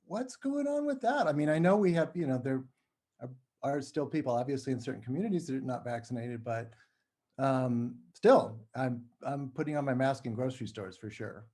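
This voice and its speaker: A man's voice: mid-range voice, expressive, moderate pace, mellow. A man speaking as a podcast guest, chatting informally and thinking aloud as he goes. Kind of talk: casual chat.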